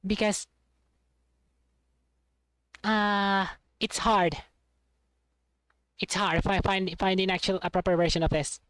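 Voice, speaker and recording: A woman streamer rambles to her chat with a voice of middle pitch, laughing easily and stumbling over restarts as she talks. She sounds close to the mic in a dry, dead room. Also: steady tone, slow pace, long pauses, a bit bright, no room sound, rough recording. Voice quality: trained, voice she has been working on. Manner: rambling, self-deprecating, laughs often, many restarts.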